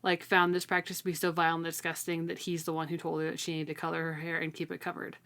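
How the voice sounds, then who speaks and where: Low-voiced woman chatting casually. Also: monotone, fast talker, fairly bright tone. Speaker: podcast co-host. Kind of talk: casual book discussion chat.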